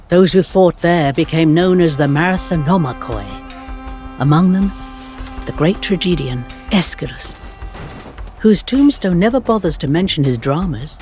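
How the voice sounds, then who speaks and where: A man narrating history for game cutscenes as a measured, dramatic storyteller with wry asides. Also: on the high side, darkish tone. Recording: music under the voice. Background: background music.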